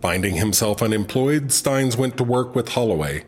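A man's voice: medium pitch, lively intonation, moderate pace, darkish tone, breathy edge. A man playing the announcer who narrates a scripted recap for a comedy audio drama. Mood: announcing. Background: faint music.

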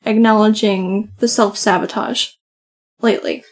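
A soft-voiced woman co-hosting a podcast, talking casually and often saying "like". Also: mid-range voice, fairly even intonation, a little slow. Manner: chatty, many "like" fillers. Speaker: podcast co-host. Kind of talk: casual conversation.

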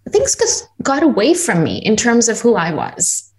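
A woman from Tanzania telling her personal story as a guest in a conversation, earnest and animated, with many restarts and "you know". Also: fairly high pitch, moderate pace.

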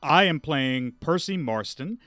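A man's voice: on the high side, animated, a little slow, pushed and bright, no echo. A man hosting and playing on a show, talking casually as he introduces things to the listeners. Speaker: host and player on the show.

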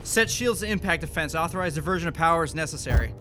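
A man's voice: lively intonation, fast, close to an everyday voice. A man playing a dry, direct, sardonic spaceship engineer and scientist in a science fiction audio drama. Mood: urgent, focused. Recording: loud music behind, vehicle rumble.

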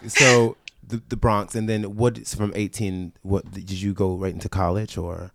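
A man co-hosts a relaxed interview and asks questions with playful, chatty asides. His voice sits somewhat low. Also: normal speed, vocal fry, very noisy. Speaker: co-host interviewer. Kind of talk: casual interview chat.

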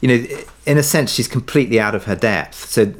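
A man's voice: medium pitch, a little fast. A man being interviewed as a writer, answering reflectively in hesitant, tentative phrases.

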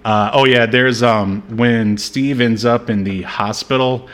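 Man, medium pitch, casual movie chat, joking, rambling, slangy, podcast co-host. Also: steady tone, a little slow, fairly bright tone.

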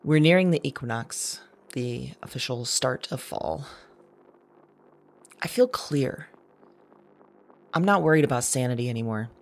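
A woman playing a wry, unsettled woman who records her night thoughts in an intimate audio drama monologue, slow and deliberate. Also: rumbling low, animated, heavily acted. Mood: defiant, unsettled. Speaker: audio drama writer and performer. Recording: music bed behind voice.

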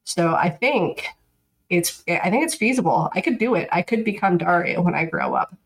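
A woman in her thirties co-hosting a podcast, talking in a flat, monotone, dryly sarcastic way. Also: lowish voice, normal speed, gravelly, low-quality recording. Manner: dry, sarcastic. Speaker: podcast co-host. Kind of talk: casual chat.

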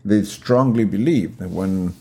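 Older argentinian guy, spanish accent, real deep mellow voice, halting with lots of uhs. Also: breathy edge. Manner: halting, many uh and um, restarts, thoughtful. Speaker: podcast guest. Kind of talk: life story interview.

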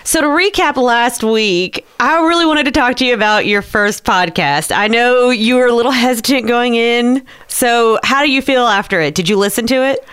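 A woman's voice: fairly high pitch, normal speed, few pauses, a bit bright, clear, resonant tone. A woman hosting a casual podcast, chatty and giggly, often saying "like". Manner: chatty, giggly, says "like" a lot. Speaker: podcast host. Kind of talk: casual chat.

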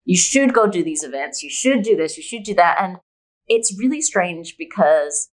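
Upbeat, lower-pitched woman chatting as guest. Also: lively intonation, moderate pace. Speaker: guest author and podcaster. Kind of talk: casual conversational interview.

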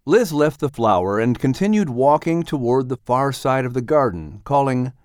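A man's voice: mid-range voice, expressive, moderate pace, a little mellow, clean tone, no echo, studio quality. A man reading scripted narration as an audiobook storyteller and announcer.

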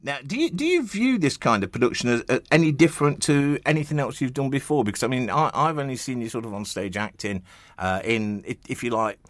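A male radio interviewer with a mid-pitched voice that rises and falls a great deal. He chats casually and ramblingly, tripping over words, in a dry close sound. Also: animated, brisk, no room sound. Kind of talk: casual chat.

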